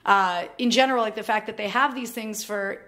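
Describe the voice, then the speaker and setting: A woman's voice: mid-range voice, fairly quick, a bit bright. A woman answering interview questions confidently, talking freely with "like" and "I mean". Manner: confident, talkative, uses "like" and "I mean". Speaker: graduate student guest.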